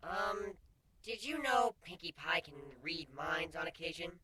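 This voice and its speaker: A man voicing a smug, talkative and excitable male insect-like changeling in a fan story reading. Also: a little high, a little slow. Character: smug, cheeky male changeling bug creature.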